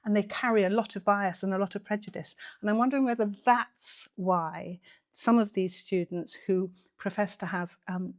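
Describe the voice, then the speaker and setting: A woman's voice: medium pitch, moderate pace. A woman giving conversational reaction commentary to camera in an earnest, emphatic, rhetorical way.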